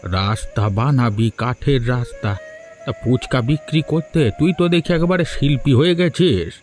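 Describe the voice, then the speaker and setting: A man's voice: medium pitch, moderate pace, darkish tone, soft background music, natural voice. A man voicing a kindly older village uncle, inquisitive and folksy, in a cartoon. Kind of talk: Bengali moral-story cartoon dubbing.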